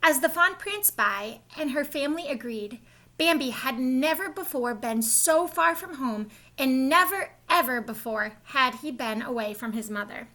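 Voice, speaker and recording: A woman reading a children's storybook aloud in an expressive way, as if explaining it to young listeners. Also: high voice, a little slow. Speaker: storybook reader, school staff.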